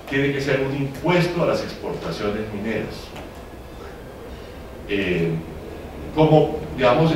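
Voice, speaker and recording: A man speaking as a panelist at a policy forum, pausing often with fillers and restarts. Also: medium pitch, a little hiss or hum. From Venezuela. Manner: hesitant, many fillers and restarts. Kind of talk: public policy talk. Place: conference hall. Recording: very echoey hall, distant mic.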